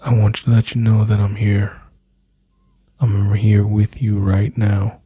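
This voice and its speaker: A man speaking softly and slowly in an intimate, soothing boyfriend-style ASMR roleplay, his low breathy voice often dropping into whispers close to the mic. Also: flat delivery, no room sound. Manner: soft, slow, soothing, intimate address to listener.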